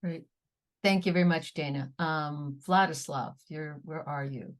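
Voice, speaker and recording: A woman moderating an online forum, brisk and polite, passing the floor to speakers. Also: sits low, fairly even intonation.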